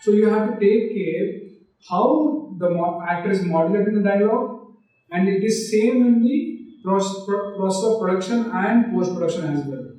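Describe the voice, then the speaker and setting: A man's voice: high-pitched, normal speed, warm tone. A man giving a live online film direction lecture, explaining step by step and checking in with his listeners with rhetorical questions. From India. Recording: very echoey room, distant from mic.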